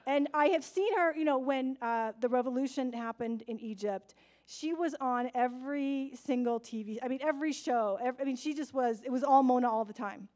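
A woman hosts a literary evening from a lectern in a large hall. Her voice sits high, and she introduces the next speaker with playful, joking asides to the audience. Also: normal speed, darkish tone. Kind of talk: stage introduction. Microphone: lectern microphone seen from a far camera.

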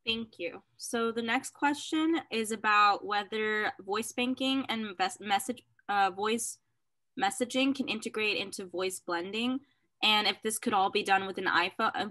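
A woman's voice: medium pitch, pushed and bright. A young woman moderator reading out a question on a webinar over a video call. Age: young adult.

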